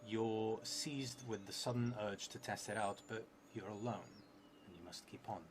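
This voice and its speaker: A man's voice: on the low side, fairly even intonation, normal speed, slight background noise. A man in his thirties narrating a solo tabletop role-playing game on a stream, reading prompts aloud and musing to himself. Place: indoor streaming setup in a theatre venue.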